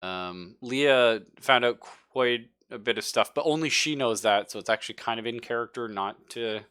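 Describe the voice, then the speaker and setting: A man's voice: medium pitch, normal speed, fairly bright tone, smooth, no room sound, studio quality. A man casually narrating a tabletop sci-fi horror game as game master, informal and jokey.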